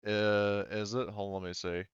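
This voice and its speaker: A low-voiced man runs a tabletop game in a casual, informal way. His voice is smooth and close, with no room around it, though the audio is a bit dull and lo-fi. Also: level tone, moderate pace, no room sound. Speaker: game master.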